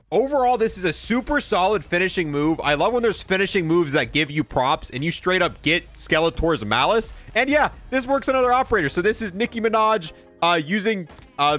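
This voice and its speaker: Gaming creator: young male, informal and slangy, very varied pitch, casual gameplay review over music. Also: a bit bright.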